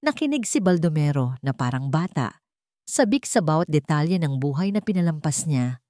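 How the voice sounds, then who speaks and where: A woman's voice: deep voice, sing-song, moderate pace, warm tone, no room sound, clean studio sound. A woman reading a scripted, dramatic story as its narrator.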